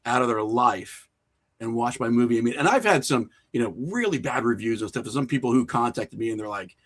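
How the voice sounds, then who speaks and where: A man's voice: medium pitch, lively intonation, a little fast. A man talking informally as an interview guest, telling anecdotes in a self-deprecating, conversational way. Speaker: podcast guest, indie filmmaker.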